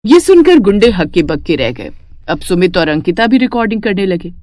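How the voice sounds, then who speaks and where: A woman's voice: mid-range voice, big pitch swings, a little fast, darkish tone, dry recording, clean studio sound. A woman narrating a scripted moral story as a storyteller.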